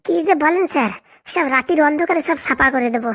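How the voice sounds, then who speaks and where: A woman's voice: sits high, fast, fairly bright tone. A woman voicing an eager, fawning young male henchman in a comedy cartoon, chattering boastfully with a rural Bengali accent.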